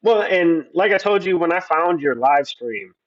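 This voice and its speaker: A man's voice: on the high side, lively intonation, moderate pace, dull audio. A man in his forties, a guest on a livestream, talking earnestly and at length with many restarts. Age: 40s. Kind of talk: opinionated conversation.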